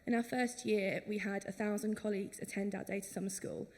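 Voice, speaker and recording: A woman's voice: medium pitch, steady tone, brisk, darkish tone. A woman speaking on a conference panel about workplace training, enthusiastic and conversational. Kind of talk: conference talk. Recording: reverberant conference hall.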